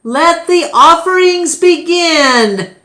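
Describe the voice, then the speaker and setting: Woman voicing a grand, slow old storybook keeper. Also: very high-pitched, lively intonation, sharp tone, pitched above the performer's own voice, heavily acted. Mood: grand, proclaiming. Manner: grand, deliberate proclaiming. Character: solemn, kindly old male keeper of the flame. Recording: echoey room.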